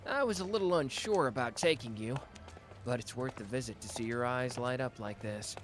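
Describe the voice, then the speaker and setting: An actor playing a hot-tempered but protective young man who talks gruffly and swears in an audio roleplay. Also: medium pitch, soft background music, close to an everyday voice. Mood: warm. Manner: gruff, swearing, quick to snap. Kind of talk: ASMR roleplay acting.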